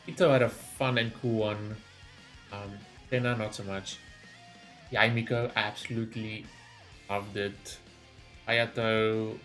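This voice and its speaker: A man's voice: on the low side, fairly bright tone. Man rambling enthusiastically over background music. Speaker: reaction video host. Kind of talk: casual gaming reaction commentary.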